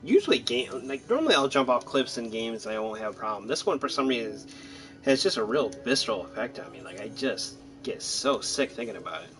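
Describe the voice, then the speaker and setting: A man's voice: medium pitch, sing-song, brisk, fairly bright tone, faint music behind. A man giving casual, rambling, self-deprecating commentary while playing a video game. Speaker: gaming streamer. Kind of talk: casual let's-play commentary.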